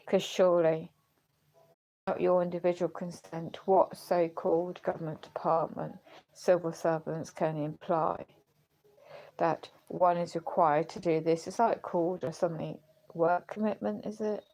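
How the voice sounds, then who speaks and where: A low-pitched woman talks slowly on a personal livestream, searching for words as she goes. Her voice is gravelly and starting to give out. She sounds earnest and questioning, with an indignant edge. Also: little pitch movement, mellow, low-quality recording. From United Kingdom. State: voice going, unwell. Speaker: live streamer. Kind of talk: rambling personal livestream talk.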